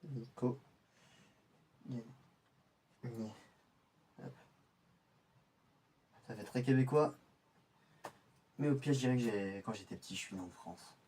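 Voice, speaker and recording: A man's voice: mid-range voice, slow pace, pauses often, mellow, distant mic, a little hiss or hum, lightly acted. A man playing an undercover infiltrator who mutters his plans to himself. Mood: pensive. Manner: muttering to himself, thinking aloud. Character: male undercover infiltrator planning his cover. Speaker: infiltrator character. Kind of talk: scripted in-character monologue.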